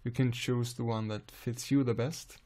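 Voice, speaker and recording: A man with a Swedish accent calmly explaining music software in a screen-recorded tutorial, pausing often with "uh". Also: mid-range voice. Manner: calm, unhurried explaining with frequent "uh" pauses.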